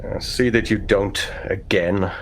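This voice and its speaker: A man playing a stern, pompous vault overseer, speaking in formal, measured phrases in a tabletop roleplaying game. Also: lowish voice, steady tone, slight background noise. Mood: commanding, formal. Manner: formal, measured, stiff-upper-lip.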